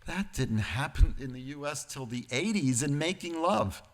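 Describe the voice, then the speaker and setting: A man delivering a prepared lecture-style sermon, speaking deliberately phrase by phrase and dropping into informal, wry asides. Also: fairly high pitch, lively intonation. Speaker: guest speaker at a church service.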